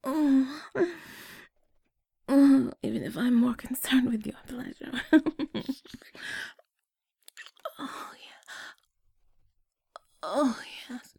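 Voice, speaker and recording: A woman in an intimate audio roleplay, speaking close to the mic in soft, breathy whispers and sighs. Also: sits high, extremely slow, long pauses, no echo.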